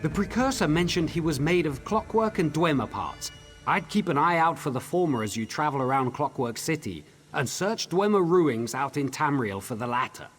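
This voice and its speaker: A man's voice: on the high side, very animated, normal speed, soft background music. A man voicing an eager, ambitious scholar in a fantasy video game. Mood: businesslike. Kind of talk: AI-voiced video game dialogue.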